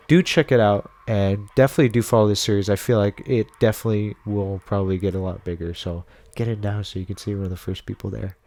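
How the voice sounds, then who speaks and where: A man's voice: lowish voice, a little mellow. A man giving casual video commentary and recommendations in an informal, rambling, conversational way. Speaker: video host and reviewer.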